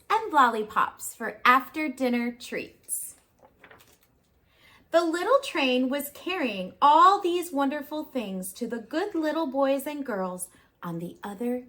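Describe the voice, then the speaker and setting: A woman preschool teacher reading a storybook aloud, expressive, with simple child-directed phrasing and questions for young listeners. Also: high voice.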